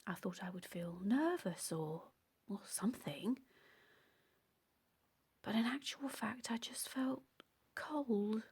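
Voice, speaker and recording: A woman acting an intimate audio-play monologue as a calm, obsessive, secretly dangerous woman, confiding in a measured, often hushed and breathy way. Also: on the high side, exaggerated voice. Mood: cold, hushed. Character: calm, obsessive, delusional woman abandoned as a baby, secretly murderous. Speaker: actor in a monologue.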